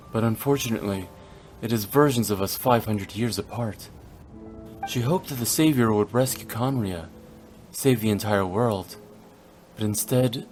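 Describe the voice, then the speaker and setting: A man playing a serious young traveler in a video game, speaking in a measured, questioning way. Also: fairly low pitch, a little mellow, natural voice. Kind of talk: dramatic video game dialogue. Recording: studio voice over background music.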